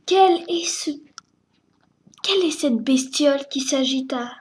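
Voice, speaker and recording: Casting: woman storyteller, high voice, slow hesitant reading at home.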